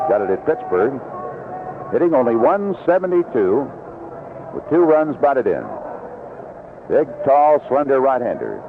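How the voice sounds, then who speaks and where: An American man calling baseball play-by-play on 1970s radio in clipped, short fragments. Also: mid-range voice. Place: outdoor ballpark broadcast booth. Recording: dull, noisy vintage AM radio broadcast.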